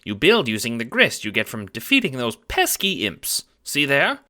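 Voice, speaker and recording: A man's voice: medium pitch, huge pitch swings, moderate pace, sharp tone, pitched above the performer's own voice, heavily acted. A man voicing a kindly, chipper old grandmother in an audio drama, doting and full of old-fashioned endearments.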